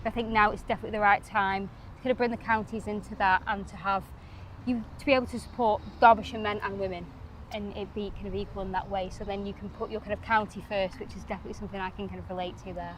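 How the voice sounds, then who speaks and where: A young woman with a Northwest English accent, chatting loosely as a guest in an outdoor pitchside interview. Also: fairly even intonation, fast talker, a little hiss or hum, rough recording. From Northwest England. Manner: loose, chatty phrasing with frequent hedging fillers. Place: outdoors at a cricket ground.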